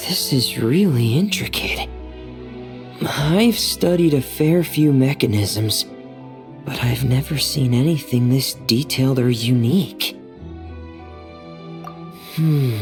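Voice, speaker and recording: Quiet earnest young boy for a game, slow hesitant polite delivery, bit of music behind. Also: medium pitch. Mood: quietly impressed. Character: soft-spoken, gentle, earnest young boy diver. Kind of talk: video game character dialogue.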